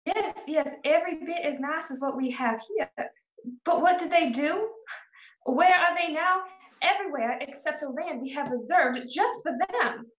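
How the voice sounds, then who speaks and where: Prim, high-voiced frontier townswoman played by a woman, echoey. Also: moderate pace, a bit bright, highs cut off. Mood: indignant. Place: indoors at home, video call. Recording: echoey room on video call.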